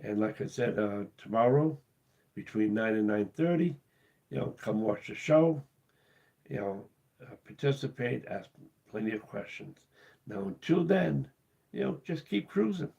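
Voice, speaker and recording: Husky man losing his voice. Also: medium pitch, animated, dark tone, highs cut off. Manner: halting, with many uh's and restarts. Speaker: cruise channel host. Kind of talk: casual live-stream talk.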